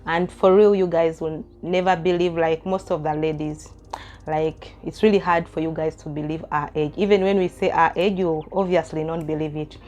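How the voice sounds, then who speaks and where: Low-voiced Ugandan woman chatting to camera. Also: little pitch movement, normal speed, darkish tone. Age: 30s. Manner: chatty, reads questions aloud then answers with asides and light laughs. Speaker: vlogger. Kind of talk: casual Q&A chat to camera.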